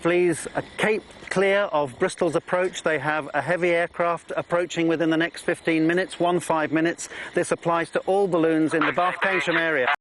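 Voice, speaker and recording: A man's voice: on the high side, steady tone, moderate pace, hardly any pauses, background noise. A man working as a balloon pilot, giving radio calls and informal explanations.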